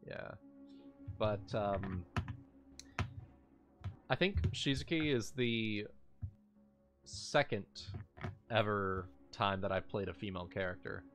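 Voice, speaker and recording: A man's voice: lowish voice, slow pace, pauses often, fairly bright tone, faint music behind. A man in a casual tabletop gamers' chat, talking informally and swearing freely. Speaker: tabletop role-playing game player.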